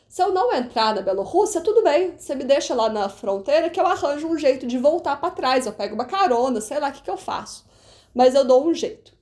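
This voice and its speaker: A young Brazilian woman with a Brazilian Portuguese accent, chatting animatedly to camera as a travel vlogger, peppering her story with little tag questions. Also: high voice. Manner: animated, chatty, frequent "né" tags.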